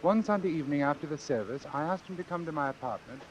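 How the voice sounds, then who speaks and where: An actor playing a coolly confident, obsessive doctor in a vintage radio suspense drama, speaking formally and precisely. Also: male voice, a little high, animated, quick pace, lo-fi sound, a little hiss or hum, lightly acted. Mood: measured, recounting. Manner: formal, precise, self-assured. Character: coolly confident, obsessive male doctor running a deadly experiment. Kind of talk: old-time radio suspense drama acting and narration.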